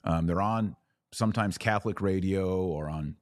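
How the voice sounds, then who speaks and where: A man's voice: low voice, normal speed, no room sound, studio quality. An American man hosting a live commentary podcast, answering comments in a blunt, opinionated way and repeating phrases for emphasis.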